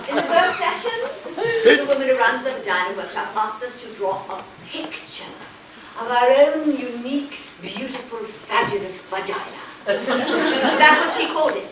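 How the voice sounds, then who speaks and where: An actress playing an earnest, awkward, wonder-struck woman in a theatrical stage monologue, savoring her words with deliberate pauses. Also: on the high side, animated, lightly acted. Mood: wry, confiding. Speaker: stage actor. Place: college theater stage before an audience. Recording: echoey theater, distant mic, noisy hiss.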